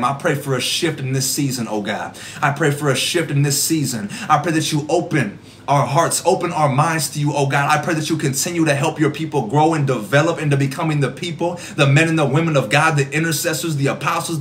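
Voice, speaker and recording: A young man in his twenties, an evangelist from the American Midwest, praying fervently in a rhythmic, repeating cadence with a voice gone hoarse. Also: on the high side, a bit bright, slight background noise. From Rockford, Illinois. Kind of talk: livestream prayer and preaching. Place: small indoor room at home. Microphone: phone camera at arm's length.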